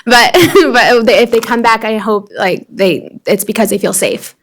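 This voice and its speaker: A woman's voice: medium pitch, steady tone, measured pace. A woman therapist speaking at a public meeting, rambling a little with many fillers as she describes her work. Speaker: child therapist. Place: government board meeting chamber.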